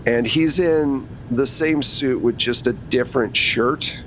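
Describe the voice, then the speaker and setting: A man with a mid-pitched voice acts as game master. He narrates casually and conversationally, with a low level of noise behind him. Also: takes it slowly, fairly bright tone, low-quality recording. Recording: faint rumbling background noise.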